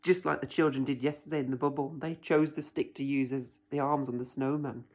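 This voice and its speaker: A woman's voice: very deep voice, fairly even intonation, fairly quick, darkish tone. A woman reading a picture book aloud expressively, like a teacher, with dramatic delivery and direct asides to young listeners. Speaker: children's story reader, teacher.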